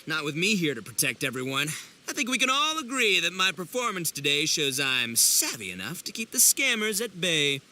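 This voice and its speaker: A man's voice: fairly high pitch, big pitch swings, moderate pace, pushed and bright, full character voice. An actor playing a brash, gullible, overconfident young oni man in a video game, with boastful, theatrical, slangy delivery. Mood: smug. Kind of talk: animated video game voice acting.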